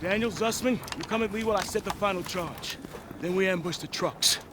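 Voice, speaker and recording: Actor as steady squad leader, loud background noise. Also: male voice, sits high, brisk, lightly acted. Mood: focused. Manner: firm, purposeful briefing. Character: steady, commanding American WWII squad leader. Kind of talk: dramatic video game cutscene acting.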